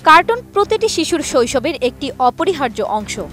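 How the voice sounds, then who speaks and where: A woman reporter with a Bangladeshi accent reads a scripted news report. Her voice is high and moves through an animated range of pitch, with faint music underneath. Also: normal speed.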